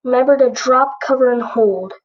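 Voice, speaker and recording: A woman's voice: high-pitched, normal speed, highs cut off. A female synthetic-sounding voice playing a formal, impersonal weather-warning announcer reading a scripted alert.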